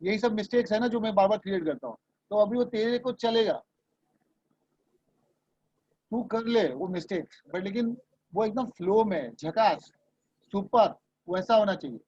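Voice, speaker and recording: High-voiced man coaching over a video call. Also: expressive, moderate pace, long pauses, darkish tone, slightly rough, no echo. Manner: casual, mixes Hindi and English, coaxing and reassuring. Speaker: voice and dubbing trainer. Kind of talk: online class coaching. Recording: dull, lo-fi video call audio.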